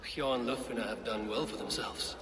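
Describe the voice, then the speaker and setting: A steady, gruff male Viking warrior played by a man in a video game, with a middling pitch and clear ambient noise behind. He speaks tersely and with measured loyalty. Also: natural voice. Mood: musing, wary.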